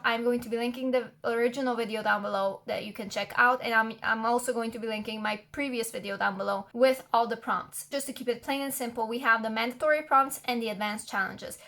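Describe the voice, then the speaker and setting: A woman's voice: fairly high pitch, a bit bright. A young woman from Slovenia, not a native English speaker, talking casually and candidly to camera in a book vlog. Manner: chatty, candid, looks down at a list. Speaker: book vlogger.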